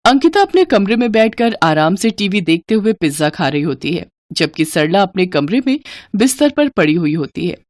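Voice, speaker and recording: A woman's voice: medium pitch, big pitch swings, normal speed, darkish tone, no room sound, studio quality. A woman narrating a scripted moral story for children as the storyteller.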